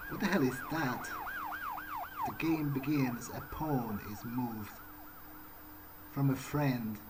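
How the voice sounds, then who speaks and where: A man's voice: mid-range voice, a little mellow, slight background noise, lightly acted. A man playing a newly turned vampire, thinking aloud in a hesitant, pragmatic, wary way as he role-plays a video game. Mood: curious musing. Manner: hesitant, halting musing. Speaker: gamer role-playing his character. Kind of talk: in-character gameplay thinking aloud.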